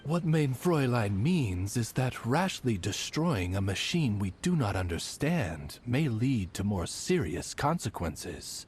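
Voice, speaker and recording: A man's voice: mid-range voice, sing-song, unhurried, few pauses, a little mellow, a little hiss or hum. A man voicing a courtly raven servant in a video game, with a formal, ornate and pompous manner. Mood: grave, courtly. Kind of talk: video game cutscene acting.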